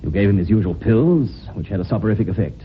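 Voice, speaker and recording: An actor playing a shrewd, businesslike police inspector with a brisk, probing way of questioning, in an old-time radio drama. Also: male voice, expressive, close to an everyday voice. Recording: rumbly, noisy old narrowband radio recording.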